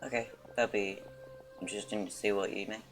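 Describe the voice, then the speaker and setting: A man with a gravelly voice casually commenting on a game as he streams it. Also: lowish voice, steady tone, a little fast. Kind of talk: casual live gameplay commentary. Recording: game music clearly behind.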